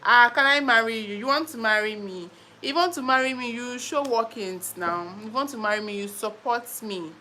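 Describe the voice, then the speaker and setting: A woman's voice: a little high, brisk, sharp tone, slight background noise. A young Nigerian woman with a Nigerian accent hosts a casual live stream, chatting playfully, reading viewer comments and laughing often.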